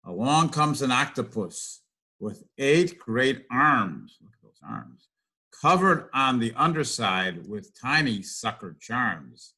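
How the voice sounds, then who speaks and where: An older man reading a rhyming picture book aloud to children in an expressive, sing-song rhythm. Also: medium pitch, takes it slowly, breathy edge.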